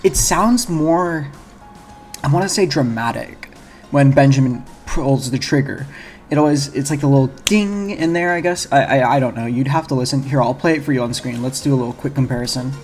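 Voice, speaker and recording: A man's voice: mid-range voice, a little fast, darkish tone. A man giving casual, rambling video essay commentary on a video game, speaking informally and correcting himself as he goes. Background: game music.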